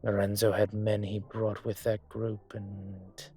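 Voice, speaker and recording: Man acting a husky, slow-talking dragon mafia boss. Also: on the low side, flat delivery, mellow, faint music behind. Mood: somber, bitter. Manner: measured, hesitant, pausing often. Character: dragon mafia boss, brooding, courteous, vengeful on a dinner date. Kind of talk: audio roleplay drama, intimate dinner conversation.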